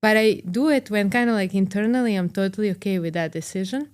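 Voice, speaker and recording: A woman's voice: medium pitch, fast, clear, resonant tone. A young woman from Serbia hosting a podcast interview, relating personal stories with many "like" fillers. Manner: warm, relating personally, fillers "like" and soft laughs. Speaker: podcast host. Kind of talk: conversational interview.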